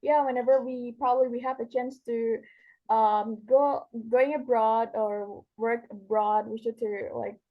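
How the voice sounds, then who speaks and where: A woman with an Indonesian accent replies in a somewhat high voice. She is polite and slightly hesitant in an informal online discussion. The call audio is dull and lo-fi. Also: fairly even intonation, measured pace.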